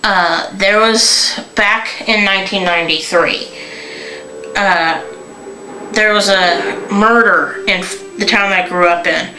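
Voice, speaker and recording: A young adult woman from Northern Kentucky, telling local stories in a casual, halting vlog full of fillers and restarts. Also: medium pitch, fairly even intonation, bright, forceful tone, echoey room, soft background music, low-quality recording. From Northern Kentucky, USA. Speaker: vlogger. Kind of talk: casual rambling storytelling.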